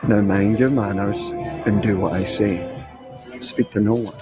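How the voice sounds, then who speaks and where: A man's voice: fairly low pitch, steady tone, measured pace, close to an everyday voice. An actor playing a stern, bossy man giving orders in a film trailer. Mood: stern warning. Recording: film audio with loud music. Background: loud music.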